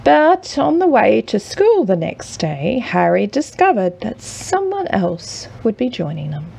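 A woman reading a children's picture book aloud as a mother would, expressively and with dramatic pauses. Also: mid-range voice, sing-song, gravelly, breathy edge. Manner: measured, with dramatic pauses and hushed drawn-out words. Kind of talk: expressive children's storybook narration.

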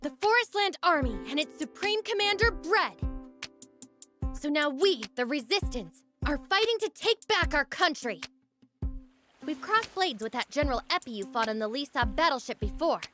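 High-voiced, earnest young rebel. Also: female voice, expressive, moderate pace, over music. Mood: indignant, determined. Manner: earnest, direct, emphatic. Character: earnest, determined young female resistance fighter. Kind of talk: English video game voice acting.

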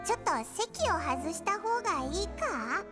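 A woman's voice: very high-pitched, sing-song, measured pace, full character voice. A woman voicing a small, childlike, brash fairy companion in an anime-style game, with music behind. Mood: awkward. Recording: studio voice over loud music. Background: loud game music.

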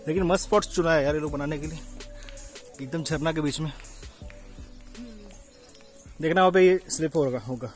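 A man's voice: on the high side, a little fast, pauses often, soft background music. Chatty young man vlogging on a hike. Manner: chatty, thinking aloud, mixes in English phrases. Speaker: travel vlogger. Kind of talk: casual vlog commentary while hiking. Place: outdoors on a green hillside and at rock caves. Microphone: phone camera held at arm's length.

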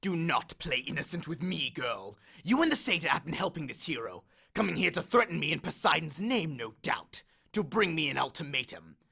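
A man's voice: a little high, big pitch swings, normal speed, creaky, hardly any pauses, sharp tone, slightly rough, heavily acted. Man voicing Hades, a bellowing god of the dead. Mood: menacing. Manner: bellowing, complaining, menacing. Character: angry male god of the dead, grand and aggrieved. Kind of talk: audiobook character voice.

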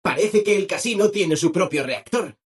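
An actor with a Castilian Spanish accent playing a relentlessly cheerful, servile robot assistant in a video game, over-eager and ingratiating. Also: male voice, high voice, lively intonation, moderate pace, breathy edge, full character voice. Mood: upbeat explaining. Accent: Castilian Spanish from Spain. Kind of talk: video game character dialogue.

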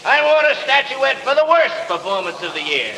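Casting: bitter, sarcastic drunk has-been movie star, high voice, echoey old radio drama. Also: male voice, sing-song, a little fast, distant mic, full character voice. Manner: loud, sarcastic speechifying. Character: drunken, bitter fallen film star interrupting an awards dinner. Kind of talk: 1930s radio acting. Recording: echoey old radio recording, off-mic, crowd behind.

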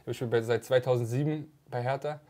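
A young man in his twenties, a football player as a guest, chatting casually and relaxed, often trailing off quietly. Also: medium pitch, fairly quick. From Germany. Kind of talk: casual chat.

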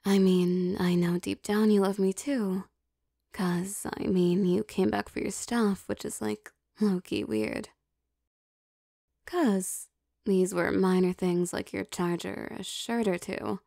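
A woman voicing a possessive, teasing young vampire girlfriend in an intimate, soft ASMR roleplay, coaxing with hesitant pauses. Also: medium pitch, gravelly, dry recording, clean studio sound. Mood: affectionate, probing.

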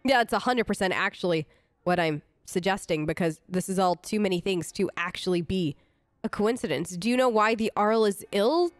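A woman's voice: medium pitch, moderate pace. A woman doing casual role-play commentary as a let's player, conversational and improvised.

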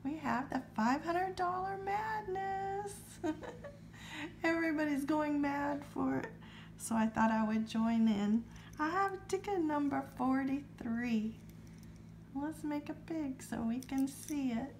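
A woman vlogging as she scratches lottery tickets, her throat a little scratchy, calmly reading out numbers in a friendly way. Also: high-pitched, takes it slowly. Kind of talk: casual to-camera chat while scratching tickets.

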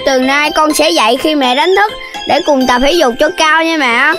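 A woman plays a little boy piglet in a children's cartoon. The voice is high, childish and whiny, with a sulky streak, over clear background music. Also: normal speed, natural voice. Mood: earnest.

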